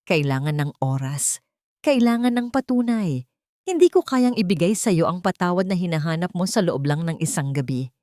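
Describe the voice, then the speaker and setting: A woman voicing a calm, guarded but forgiving mother in an audio story, speaking gently and firmly. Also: fairly low pitch, dry recording, studio quality, close to an everyday voice. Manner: measured, gentle, firm.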